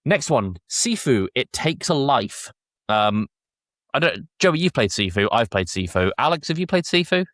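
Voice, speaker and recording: A man's voice: medium pitch, big pitch swings, moderate pace, a bit bright, no echo, clean studio sound. A man hosting a podcast, chatting casually and recapping episodes in a jokey, informal way. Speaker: podcast host.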